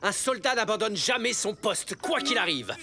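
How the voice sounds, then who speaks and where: A man's voice: high-pitched, expressive, normal speed. A man voicing a pompous, rule-bound army captain in a cartoon, giving stiff, smug commands. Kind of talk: cartoon voice dubbing. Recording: loud music behind voice.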